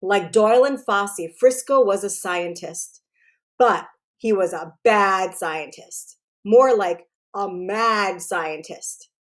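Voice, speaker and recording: A woman narrating a children's book aloud as a storyteller, in an expressive, deliberate way, phrased clearly for young listeners. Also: medium pitch.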